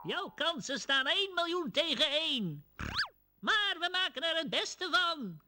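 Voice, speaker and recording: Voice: extremely high pitch, sing-song, a little slow, pushed and bright, a little hiss or hum, heavily acted. A performer playing a quirky, theatrical video game cutscene character. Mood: quirky, dramatic.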